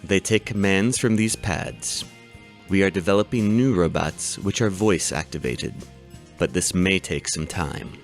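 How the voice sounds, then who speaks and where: An actor playing a calm robotics professor who lectures his class in a measured, explanatory way. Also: deep voice, faint music behind. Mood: lecturing. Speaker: doctor teaching a robotics class. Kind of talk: audiobook character reading.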